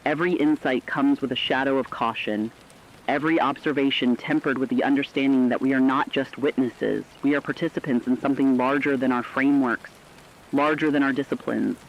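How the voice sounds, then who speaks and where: A man playing a thoughtful, earnest emergency radio correspondent in an apocalypse drama, with formal, measured delivery. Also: medium pitch, steady tone, dull audio, slight background noise, close to an everyday voice. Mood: awed, grave. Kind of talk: scripted dramatic broadcast narration.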